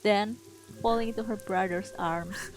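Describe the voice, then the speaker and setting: A woman narrating a story as a dramatic scripted reading, deliberate and theatrical. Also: mid-range voice, steady tone, soft background music.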